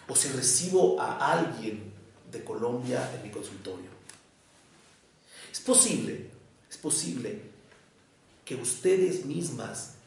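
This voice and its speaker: A psychoanalyst from Mexico with a Mexico City accent, giving an academic lecture in a measured, deliberate way with short pauses between phrases. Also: male voice, medium pitch, vocal fry, darkish tone, a little hiss or hum. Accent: Mexican Spanish, Mexico City. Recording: echoey room, voice picked up from far off.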